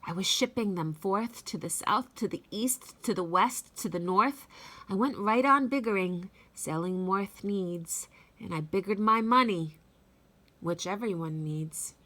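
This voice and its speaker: Woman reading as greedy storybook businessman, self-justifying, insistent, forceful tone, unhurried. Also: medium pitch. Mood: defensive, boastful. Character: greedy, self-justifying male factory boss.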